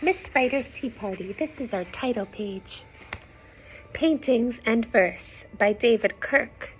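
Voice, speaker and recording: A woman reading a children's storybook aloud expressively, pausing to explain simply and ask young listeners questions. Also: fairly high pitch, animated, a little hiss or hum, poor audio.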